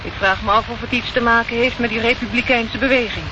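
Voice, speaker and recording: A woman's voice: a little high, steady tone, fast talker, natural voice. An actress playing a detective's eager, inquisitive female companion in a Dutch radio play, chatty and full of questions. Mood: curious. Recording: noisy, muffled old radio recording.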